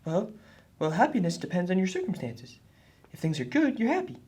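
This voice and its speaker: A woman voicing an earnest, husky boy puppet who explains things helpfully in a children's puppet show. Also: on the low side, wildly swooping pitch, a little fast, mellow, exaggerated voice.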